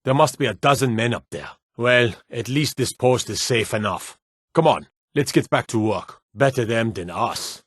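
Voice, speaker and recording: A man's voice: mid-range voice, expressive, moderate pace, breathy edge, no echo. Stern fantasy city guardsman, dry sound. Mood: weary, grumbling. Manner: authoritative, curt, clipped commands. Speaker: game voice actor playing guards and soldiers. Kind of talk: fantasy video game character dialogue.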